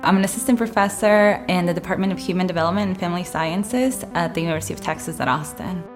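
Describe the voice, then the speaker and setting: A woman professor from Argentina, a native Spanish speaker, answering interview questions quickly and plainly. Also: on the low side, over music. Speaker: psychology professor.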